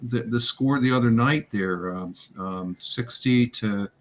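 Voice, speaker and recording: A man on a fantasy football chat who says he has a loud voice, talking with many uhs and restarts. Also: mid-range voice, a little slow. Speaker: panelist on fantasy football show. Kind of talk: casual sports chat.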